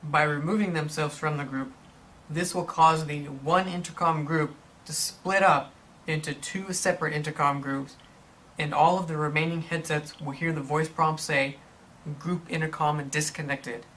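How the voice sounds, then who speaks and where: A man reading scripted instructional narration in a measured, explanatory manner for a product tutorial. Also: on the high side, steady tone, bright, forceful tone.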